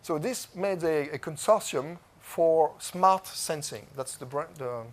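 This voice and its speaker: A man with a clear French accent talks to a conference audience in a fairly high voice. He speaks English as a second language and gropes for words, stopping and restarting often. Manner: halting, many fillers and restarts. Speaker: conference presenter. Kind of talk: conference talk. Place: conference stage.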